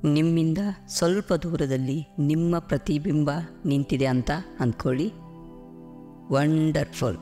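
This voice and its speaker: A man guiding a visualization meditation in a slow, soothing way with deliberate pauses. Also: fairly high pitch, fairly even intonation, mellow. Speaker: meditation guide. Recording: music behind the voice. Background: clear background music.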